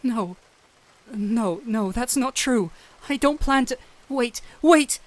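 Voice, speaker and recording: A woman playing a gentle, anxious doctor in an audio roleplay, speaking earnestly and haltingly to the listener over rain. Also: a little high, expressive. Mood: desperate pleading. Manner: earnest, hesitant, pleading with broken phrases. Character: gentle, anxious human doctor pleading with a small cat-thief. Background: rain ambience.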